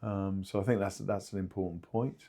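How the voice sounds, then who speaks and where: A man explaining things haltingly in an informal podcast discussion, as a company spokesman. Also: low voice, steady tone, mellow. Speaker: two-way radio company spokesman.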